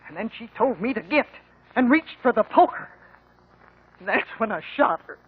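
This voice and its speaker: A husky rural American country mother, confesses in halting, folksy words. Her voice sits high, in the acting style of a 1950s radio drama. The old recording is muffled. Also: animated. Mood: distressed confession. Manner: halting, plain folksy speech.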